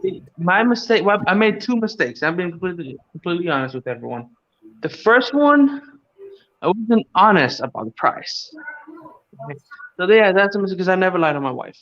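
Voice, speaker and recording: A man's voice: high-pitched, lively intonation, normal speed, fairly bright tone. A man from Cuba hosting a casual livestream, rambling and joking, chuckling as he talks. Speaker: livestream host. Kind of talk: casual chat. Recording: dull lo-fi stream audio.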